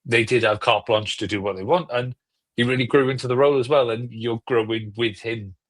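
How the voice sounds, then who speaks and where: A man's voice: medium pitch, steady tone, a little fast, a bit bright. A man on a podcast panel, chatting casually and enthusiastically, rephrasing as he goes.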